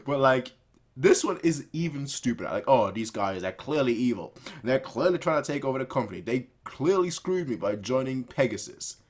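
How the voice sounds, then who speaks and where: A man hosting a reaction video, commenting casually and excitedly, often repeating phrases for emphasis. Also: medium pitch, normal speed.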